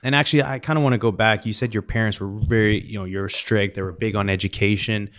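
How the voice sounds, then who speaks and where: A man's voice: lowish voice, fairly even intonation, fast. A man from British Columbia, Canada, hosting a podcast in a casual, conversational interview style. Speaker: podcast host.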